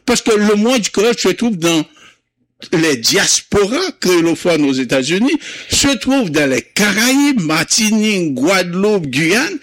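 A man whose mother tongue is Creole, recalling in an interview how he founded a community Creole month, with many pauses, fillers and restarts. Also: high-pitched, very animated, fairly bright tone, no echo. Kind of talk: interview recollection.

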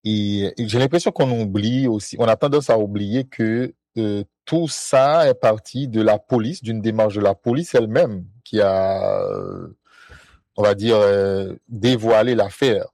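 A man hosting a discussion, speaking in a measured way and asking probing questions. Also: fairly low pitch, a little mellow, dry recording.

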